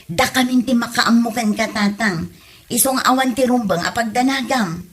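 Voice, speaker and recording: A woman's voice: on the high side, moderate pace, close to an everyday voice. A woman playing a caring, reassuring Filipino daughter and wife in a comedy audio drama, with an Ilocano accent. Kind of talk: hobby comedy radio drama acting.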